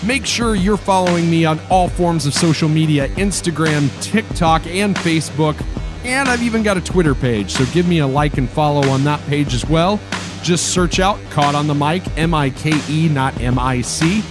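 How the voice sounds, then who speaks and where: Hoarse male podcast host over music. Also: fairly high pitch, normal speed. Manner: enthusiastic, slangy. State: hoarse from a cold. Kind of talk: casual podcast outro.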